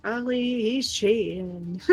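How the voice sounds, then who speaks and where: A woman's voice: a little high, darkish tone, breathy edge, highs cut off. A woman chatting casually on a livestream in a playful, teasing way. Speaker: livestream guest. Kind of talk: casual chat. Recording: music playing behind the talk. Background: background music.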